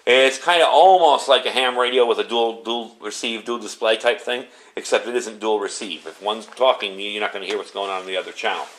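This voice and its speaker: A man's voice: lowish voice, a little fast, few pauses, a bit bright, rough recording. A man giving a casual, unscripted product review in a chatty, conversational way.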